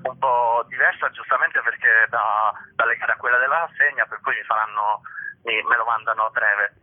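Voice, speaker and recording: Man, phone-in guest, husky, halting and casual, tinny phone line. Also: mid-range voice, steady tone. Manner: hesitant, halting, laughs easily.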